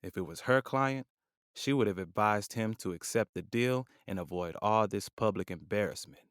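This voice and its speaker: A man narrating an audio drama with measured, descriptive delivery. His voice is on the low side. The recording is dry, with no room sound. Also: clear, resonant tone, no room sound.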